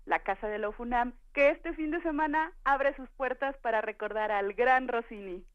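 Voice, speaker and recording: A woman's voice: on the high side, moderate pace, highs cut off. A woman with a Mexican accent chatting as an interview guest in an effusive, courteous way, promoting events. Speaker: guest, orchestra administrator. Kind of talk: promotional radio interview chat.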